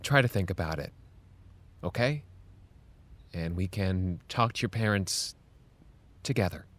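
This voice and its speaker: An actor playing an earnest, gently pushy adult, speaking in a measured, reassuring, slightly hesitant way in an audio drama. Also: male voice, sits low, lively intonation. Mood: reassuring, insistent. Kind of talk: naturalistic audio drama dialogue.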